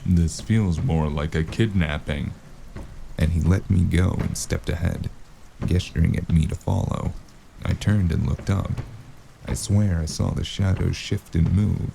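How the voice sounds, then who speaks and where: Man acting a gravelly, low noir investigator. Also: steady tone, unhurried, background noise, heavily acted. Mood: strained, indignant. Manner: world-weary, cursing, sardonic. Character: weary, sardonic cyberpunk noir investigator, man on the run. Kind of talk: scripted first-person noir audio drama narration. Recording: music bed behind the voice.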